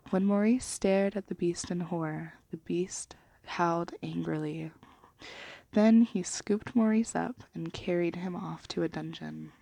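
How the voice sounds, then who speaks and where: Female ASMR reader, hushed and deliberate, lower voice with gravelly fry, for children's storybook narration. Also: no echo. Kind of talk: soft-spoken and whispered ASMR storybook reading.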